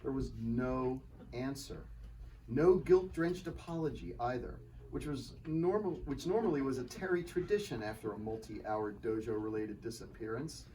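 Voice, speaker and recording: A man's voice: medium pitch, normal speed, dark tone. A man narrating a scripted story as its author in a dramatic live reading. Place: live reading at a bookshop event. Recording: distant mic, faint room noise.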